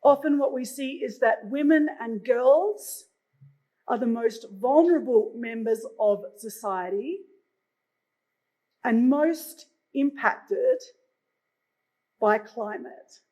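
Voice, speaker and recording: Picture a middle-aged Australian woman speaking from a conference stage, her voice sitting high. She talks in a chatty way, with plenty of "uh" fillers between her phrases. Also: slow pace, pauses often, warm tone, low-quality recording. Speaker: moderator.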